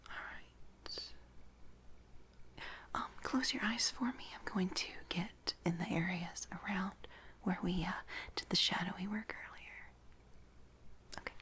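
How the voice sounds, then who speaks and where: Female, breathy whisper, very slow delivery, reassuring personal-attention ASMR roleplay. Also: lowish voice. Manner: gentle, reassuring, unhurried instructions. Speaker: ASMR creator playing a makeup artist.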